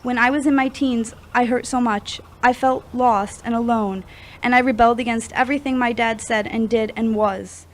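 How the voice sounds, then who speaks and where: A woman acting as a troubled young woman in an audio drama. Her voice sits fairly high and she speaks earnestly, as if confessing something painful. There is some noise behind her. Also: steady tone, moderate pace, natural voice. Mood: pained, confessing. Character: troubled young woman opening up about past grief.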